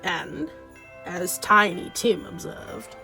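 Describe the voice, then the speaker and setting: A woman plays a grand, droll radio play narrator. The delivery is very slow and dramatic, over faint music. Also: fairly low pitch, vocal fry, bright, forceful tone, exaggerated voice. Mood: droll storytelling. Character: storyteller narrator posing as Charles Dickens, droll and grand.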